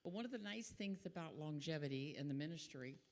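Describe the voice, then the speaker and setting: Low, gravelly older woman missionary. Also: a little fast. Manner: earnest, steady, with fillers. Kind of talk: church missionary testimony. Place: church sanctuary.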